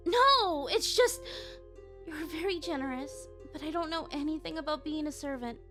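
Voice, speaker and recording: Woman acting high-voiced timid young heiress. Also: normal speed, lightly acted. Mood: anxious. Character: frightened, well-bred young heiress, earnest and timid. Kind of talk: comic dub voice acting. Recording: loud music behind voice.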